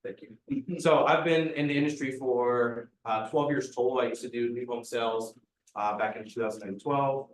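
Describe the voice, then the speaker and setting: A man's voice: medium pitch, moderate pace. Self-deprecating man on a panel, roomy sound. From Columbus, Ohio area. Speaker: real estate agent panelist. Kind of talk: panel introduction. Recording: echoey room, distant mic.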